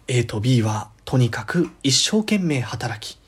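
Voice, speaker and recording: Husky male horror storyteller. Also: mid-range voice, sing-song, slow. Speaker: ghost story narrator. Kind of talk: scripted dramatic storytelling narration.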